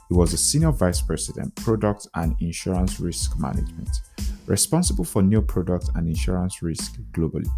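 Man, very deep, mellow, measured interview host, faint music in background. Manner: measured, formal reading of the introduction. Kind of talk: scripted guest introduction and interview questions.

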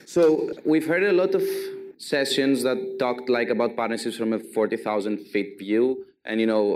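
A man's voice: on the low side, darkish tone, a little hiss or hum. A man from Greece with a strong Greek accent giving a conference talk in a conversational way full of fillers, tired from jet lag. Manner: conversational, filler-heavy presenting. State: jet-lagged. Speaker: conference speaker.

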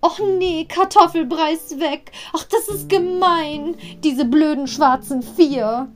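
A woman's voice: high voice, slow, voice pushed up. A woman voicing a plucky young witch girl from an audio play, chanting spells in an exclaiming sing-song. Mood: indignant.

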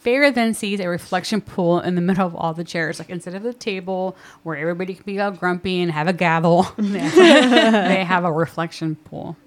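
A woman in her thirties with a deeper voice, co-hosting a podcast and recapping book chapters in casual, giggly chat that often trails off. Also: normal speed, hardly any pauses. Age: 30s. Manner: giggly, chatty, often trails off mid-sentence. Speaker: podcast co-host.

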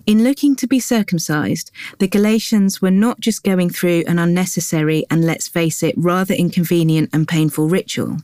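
A woman giving a calm, measured scripted devotional reading that moves into a guided prayer. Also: on the low side, no echo. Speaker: devotional reader.

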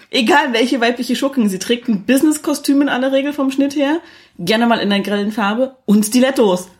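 A woman's voice: fairly high pitch, moderate pace, pauses often. A woman co-hosting a podcast, giving her take on films in casual conversation. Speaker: podcast co-host. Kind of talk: casual film review chat.

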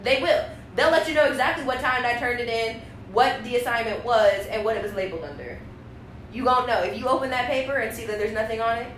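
A woman's voice: fairly high pitch, fast, a bit bright, slight background noise. A young woman chatting casually on a student podcast, joking and theatrical in her delivery. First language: Spanish. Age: young adult. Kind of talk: casual chat. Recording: echoey room.

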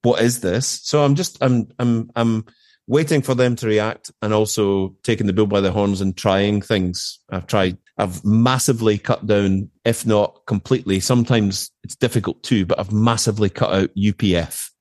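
A man's voice: lowish voice, steady tone, normal speed, darkish tone, dry recording. A middle-aged British man chatting casually as an interview guest, telling stories with emphatic repetition and colloquial asides. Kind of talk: casual conversation, anecdotal.

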